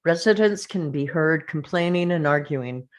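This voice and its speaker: A woman's voice: sits low, normal speed. A woman reading stage directions as the narrator of a screenplay table read.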